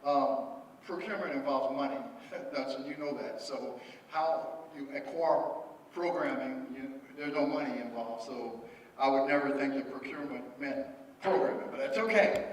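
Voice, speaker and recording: A man presenting at a public meeting, speaking with halting restarts and repeated words. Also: medium pitch. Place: large echoey meeting hall. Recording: echoey hall audio from far off, faint noise.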